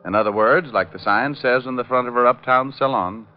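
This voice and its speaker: An actor playing a glib, flirtatious private detective who narrates his case with deadpan quips in vintage radio style. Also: mid-range voice, brisk, natural voice. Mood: wry narration. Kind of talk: 1940s-50s radio detective acting, hardboiled narration. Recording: muffled old-time radio recording with music.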